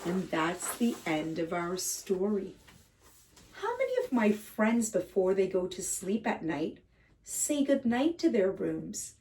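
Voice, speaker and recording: Slow, mellow woman reading to young children. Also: mid-range voice, very animated. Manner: addresses young children directly, simple gentle phrasing. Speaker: kindergarten read-aloud teacher and host. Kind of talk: children's picture book read-aloud. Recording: slightly distant microphone.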